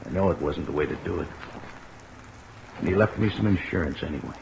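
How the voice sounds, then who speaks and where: An actor playing a rough, remorseful twenty-seven-year-old drifter in an old radio drama, speaking in a halting, plain-spoken way. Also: male voice, sits low. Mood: wistful, regretful. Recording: noisy old radio recording, rain overlaid.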